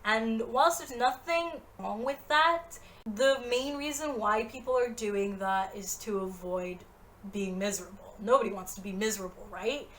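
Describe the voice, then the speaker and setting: A woman's voice: a little high, normal speed, fairly bright tone, slight background noise. A woman in her twenties talking earnestly to the camera in a motivational vlog, direct and encouraging. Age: 20s. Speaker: vlogger.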